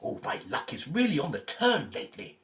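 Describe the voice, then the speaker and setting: An actor in an audio drama playing an excitable, flippant man on an archaeology team, chatty and quick to exclaim. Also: fairly high pitch, heavily acted. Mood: excited, cheeky.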